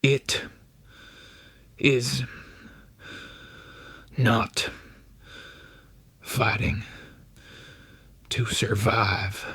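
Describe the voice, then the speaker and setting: A cold crystalline alien officer, voiced by a man, speaks in a breathy whisper with almost no solid tone. The delivery is extremely slow and halting, each clipped word set down with analytical detachment in a dry, close sci-fi audiobook sound. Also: medium pitch, sharp tone, dry recording, pitched above the performer's own voice, exaggerated voice. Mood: cold, measured. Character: cold crystalline alien commander and his second-in-command. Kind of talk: audiobook character voice.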